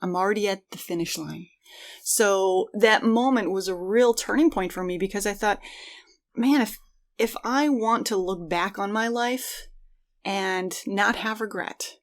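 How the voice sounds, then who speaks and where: A woman with a mid-pitched voice speaks as a podcast co-host in relaxed, reflective conversation. She comes across as earnest and encouraging, talking things through in a personal, conversational way.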